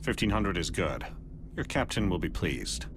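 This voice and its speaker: An actor playing a composed, watchful alien agent in a video game, speaking in a measured, formal, probing way. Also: male voice, deep voice, very animated, noisy background. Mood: calm, probing. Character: composed, watchful alien Spectre agent, a male outsider evaluating humans. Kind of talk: video game cutscene acting.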